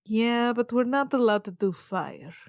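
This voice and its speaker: A woman plays a tabletop roleplaying game, speaking casually in character. Her voice is a bit high, her delivery plaintive and mock-dramatic, and the recording has a dry, close sound. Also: expressive, a little slow, no room sound. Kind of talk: casual in-character improvised chat.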